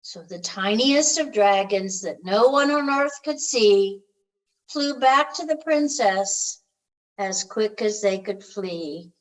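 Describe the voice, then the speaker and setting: A woman reads a children's storybook aloud in a gentle, expressive storytelling manner, drawing out the ends of phrases. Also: medium pitch, slow pace, rough recording. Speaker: storybook reader.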